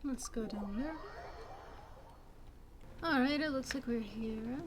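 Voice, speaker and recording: A woman in her twenties giving casual gameplay commentary in a soft-spoken, reserved way. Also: fairly high pitch, slow, background noise. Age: 20s. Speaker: gaming video creator.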